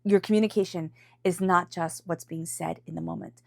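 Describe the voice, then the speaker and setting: A woman's voice: fairly low pitch, steady tone, moderate pace, vocal fry, no room sound, clean studio sound. A woman with a stuffy, congested voice from a cold, giving an intuitive tarot reading to viewers and repeating phrases for emphasis. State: has a head cold. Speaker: tarot reader.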